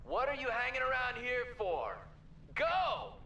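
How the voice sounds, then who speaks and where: Brusque, agitated game character: sharp, very animated, snapping, in an echoey space. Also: male voice, very high-pitched, a little slow, muffled recording, a little hiss or hum, heavily acted. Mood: urgent, angry. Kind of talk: dramatic video game cutscene acting. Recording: roomy, distant, faint music behind.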